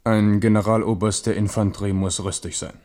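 A proud French warrior king, acted by a man in a radio drama. His voice is low, and he speaks in a declamatory, commanding way with an ironic edge and the weight of his cares. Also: level tone, fairly quick, darkish tone, natural voice. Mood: dry, pointed. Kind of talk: German radio play acting.

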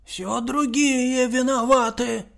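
A man putting on a defensive coworker who whines his denials in a high, gravelly voice. He speaks slowly, and his pitch climbs and dips widely. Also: fairly even intonation, a bit bright, full character voice. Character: defensive male colleague, always blaming others.

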